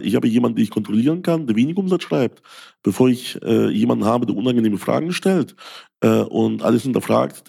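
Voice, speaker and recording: A man's voice: fairly low pitch, creaky, warm tone, husky. A man from Ukraine, a sales coach, talking through a podcast monologue with many fillers and "ja?" tags. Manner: frequent fillers, rhetorical "ja?" tags.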